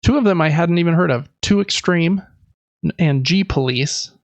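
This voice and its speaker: A man's voice: fairly high pitch, normal speed, no room sound, dull audio. A man hosting a casual gaming podcast, reading through news lists in a chatty, informal way with personal asides. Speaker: podcast host.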